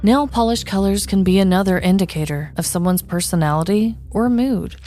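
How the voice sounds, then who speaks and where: A woman's voice: mid-range voice, brisk, mellow, soft background music. A woman co-hosting a comedy podcast, moving between casual chat and scripted storytelling. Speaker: podcast co-host.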